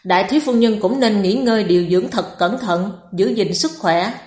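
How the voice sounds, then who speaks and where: Stern, sober male doctor for audiobook drama, read by a woman: lowered tone, measured delivery, echoey room. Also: expressive, lightly acted. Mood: solemn advice. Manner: serious, measured, sighing. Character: grave, sighing male family doctor.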